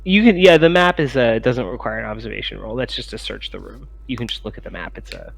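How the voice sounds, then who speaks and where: Creaky-voiced man as game master. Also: medium pitch, fast talker. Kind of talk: tabletop game narration, improvised.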